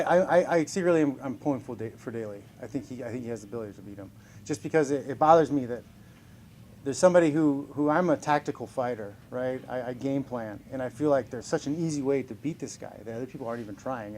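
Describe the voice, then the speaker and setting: A man in his forties fielding questions from reporters, loose and upbeat, joking about his own age between answers. His voice sits at an everyday middle pitch, with a faint hiss under it. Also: fast, darkish tone. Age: 40s. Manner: upbeat, chuckling, self-deprecating. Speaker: professional fighter being interviewed. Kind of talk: casual press scrum answers.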